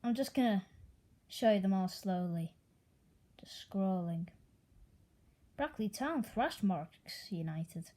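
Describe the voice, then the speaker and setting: A woman's voice: mid-range voice, expressive, takes it slowly, pauses often, dark tone. A young woman with an American accent, originally from Italy, reading out football results in a casual, offhand way with dry asides. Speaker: sports news presenter.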